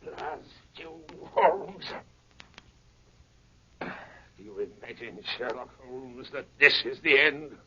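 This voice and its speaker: An actor playing a menacing, defiant criminal mastermind in a 1930s radio drama, gravelly and deliberate. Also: male voice, medium pitch, background noise, natural voice. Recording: dull old 1930s radio broadcast.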